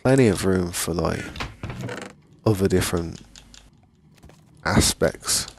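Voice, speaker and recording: A man's voice: low voice, slow pace, a little hiss or hum. A man streaming a game, rambling in a laid-back way and often saying "man", with a lingering cough. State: still has a lingering cough. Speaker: gaming streamer. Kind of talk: casual gameplay chat.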